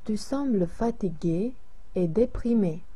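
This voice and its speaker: A concerned young woman checks on her friends, as a woman reads her lines. The voice is unhurried, soft and mellow, with a slightly breathy edge, in the plain manner of a recorded lesson dialogue. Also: mid-range voice, expressive.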